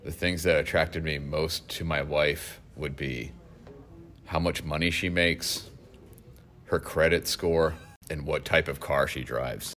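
Very deep, slow deadpan man. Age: 30s. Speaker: interviewee.

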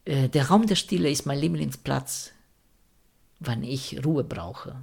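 Man, Italian accent, gravelly, reflective, searching for words, interview answers. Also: mid-range voice, slow. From Italy (Rome). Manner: thoughtful, searching for words, non-native grammar.

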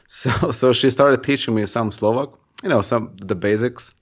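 A man casually telling a story on a podcast, relaxed and full of small restarts. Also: mid-range voice, a little slow. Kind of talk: casual conversation.

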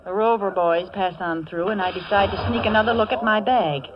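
A woman's voice: medium pitch, brisk, close to an everyday voice. An actress playing a wisecracking, streetwise young American city woman, slangy and sardonic, narrating and acting in a 1940s radio drama. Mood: wry, suspicious. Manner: slangy, sardonic, tough-talking. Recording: muffled, very noisy old radio recording.

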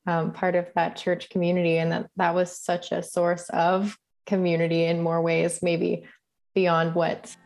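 A woman interviewing a guest, asking long, gentle probing questions. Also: lowish voice, completely flat delivery, measured pace, creaky.

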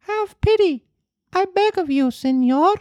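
A small wooden puppet boy with a high, piercing voice far above the reader's own. The boy is brave and pitiful, a storybook hero. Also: animated, a little slow, a little mellow, dry recording, highs cut off. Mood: pleading.

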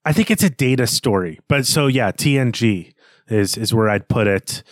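A man's voice: medium pitch, lively intonation, moderate pace, dry recording. Man thinking aloud, dry close sound. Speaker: podcast co-host. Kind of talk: unscripted literary discussion.

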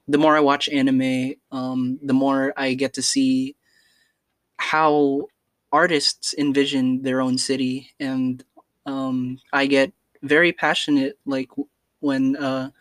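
Slow, hesitant young podcaster. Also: mid-range voice, no echo. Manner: hesitant, many fillers and restarts. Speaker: student podcaster. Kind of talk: casual personal podcast monologue.

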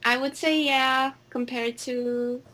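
A woman with a Finnish accent answers questions in a casual, conversational way. Her voice sits fairly high and she takes her time, hesitating between phrases and sounding a bit giggly. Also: fairly even intonation, slow pace, bright, forceful tone. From Finland. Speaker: interview guest.

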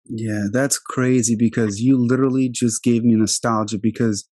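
A man's voice: mid-range voice, little pitch movement, normal speed, darkish tone, no room sound. A man hosting a podcast in a laid-back way, often repeating words and filling with "like". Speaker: podcast host. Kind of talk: casual podcast interview.

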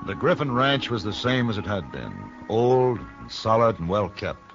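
An actor playing a cool, laconic gunfighter for hire in an American radio Western, measured, terse and firm. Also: male voice, fairly low pitch, sing-song, music in the background. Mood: grave. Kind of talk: old radio Western acting and narration. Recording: muffled vintage radio broadcast.

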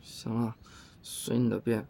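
A man's voice: mid-range voice, flat delivery, unhurried, vocal fry, dark tone, slight background noise. Man acting a reserved, practical young man. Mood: reserved, evasive. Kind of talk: Chinese audio drama acting.

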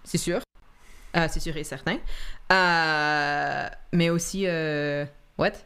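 Femme décontractée qui glisse de l'anglais. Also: female voice, low-pitched, fairly even intonation, slow, a bit bright, smooth. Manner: laughs often, mixes English words into French. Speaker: co-host. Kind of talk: casual chat on a live stream.

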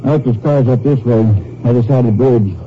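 An actor playing a helpful, plain-spoken small-town sheriff, folksy and easygoing, in 1950s radio drama style. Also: male voice, medium pitch, a little fast, lightly acted. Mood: folksy, puzzled. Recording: muffled, noisy old radio recording.

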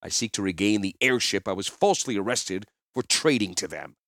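A man's voice: lowish voice, expressive, fairly quick, fairly bright tone, no echo, clean studio sound. A man voicing a swaggering, defiant warrior hero who speaks in a commanding way in a fantasy audiobook. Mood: firm bargaining. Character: boastful, defiant legendary male warrior hero.

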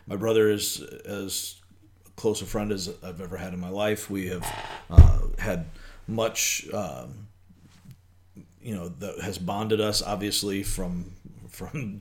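A man's voice: low voice, steady tone, unhurried. A middle-aged man co-hosting a casual faith podcast, talking in a rambling way with many restarts and thinking aloud. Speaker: podcast co-host.

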